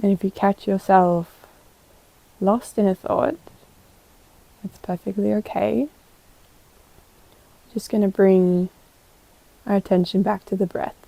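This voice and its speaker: A woman guiding a meditation as a yoga teacher, calm and gentle, giving unhurried instructions with pauses. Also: mid-range voice, vocal fry, no room sound, low-quality recording. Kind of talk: guided meditation.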